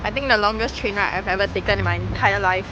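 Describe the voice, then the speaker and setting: A woman's voice: medium pitch, fast, highs cut off. A young woman chatting casually and offhandedly as she vlogs out and about on a handheld phone. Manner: informal, offhand reactions. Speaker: travel vlogger. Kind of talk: casual handheld vlog chat. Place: out and about, mostly outdoors at tourist spots. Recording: very noisy outdoor phone recording.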